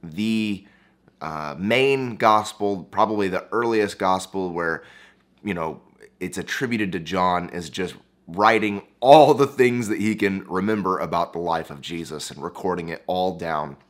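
A young man hosting his own channel, talking through a topic in a relaxed, conversational way with a hoarse, froggy voice and plenty of "uh"s. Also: deep voice, a little slow. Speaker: YouTube and podcast host. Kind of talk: casual explainer monologue.

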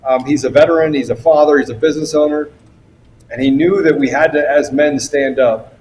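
A man's voice: a little high, fairly even intonation, brisk, a little mellow, rough recording. An American man hosting a talk show, speaking conversationally as he presents and questions a guest. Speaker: show host. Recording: faint background noise.

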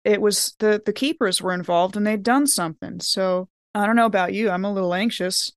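A woman's voice: mid-range voice, a little fast, no room sound, studio quality. A woman playing in a tabletop roleplaying game, chatting casually and bantering in character.

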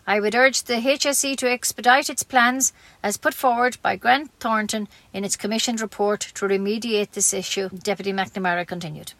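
A woman's voice: mid-range voice, steady tone, brisk, a bit bright, dry recording. A woman with an Irish accent reading scripted local news as a community radio newsreader.

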